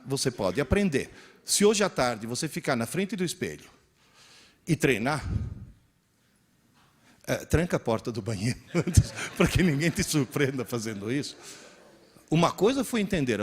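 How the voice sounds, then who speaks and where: A man in his sixties giving a lecture to teachers, telling anecdotes and leading the audience through hands-on exercises. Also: mid-range voice. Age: 60s. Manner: animated storyteller who leads the audience in exercises. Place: live event in front of an audience.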